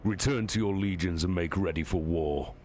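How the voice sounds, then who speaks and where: A man's voice: deep voice, moderate pace, a little mellow, a little hiss or hum, natural voice. A man voicing a stern, menacing warlord with a commanding, haughty manner in a dramatic book reading. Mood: curt command. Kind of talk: dramatic audiobook character reading.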